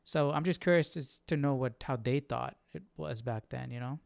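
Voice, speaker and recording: A man's voice: medium pitch, fairly quick, creaky, dry recording. A man hosting a Bible study stream, talking casually and reasoning through questions in a rambling, searching way. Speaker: Bible study livestream host. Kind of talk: casual thinking aloud, conversational.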